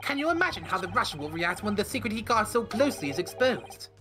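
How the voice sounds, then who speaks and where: A woman's voice: mid-range voice, big pitch swings, fast, close to an everyday voice. A woman voicing a grandiose, self-important male detective in a fan dub of a game, with game music behind. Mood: pompous. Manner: theatrical, self-important, long-winded.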